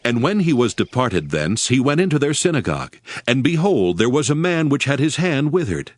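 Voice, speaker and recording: A man narrating a dramatized Bible reading with steady, reverent storytelling. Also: medium pitch, brisk, no room sound. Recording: studio narration with music beneath.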